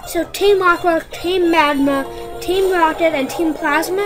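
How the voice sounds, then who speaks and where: A woman's voice: extremely high pitch, measured pace. A woman co-hosting a game playthrough, joking and rambling in a casual way, with game music behind her. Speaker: co-host. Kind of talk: casual gameplay chat.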